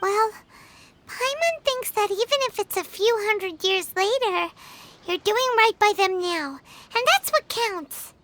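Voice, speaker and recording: Tiny fairy girl, very high, bouncy, chirpy, talks about herself by name, game music behind. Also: animated, unhurried, a bit bright, exaggerated voice. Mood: encouraging. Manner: refers to herself in third person. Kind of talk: video game voice acting.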